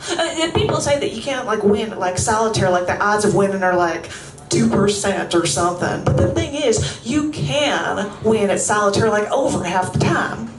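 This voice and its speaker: A woman's voice: mid-range voice, animated, moderate pace, far from the mic, noisy background, lightly acted. A woman performing a live stage monolog as a confined, restless woman who talks in a put-on Southern accent, rambling and stammering with nervous laughs. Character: confined woman, chatty, restless, feigning craziness. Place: coffeehouse stage before a live audience. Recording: roomy room, mic set back.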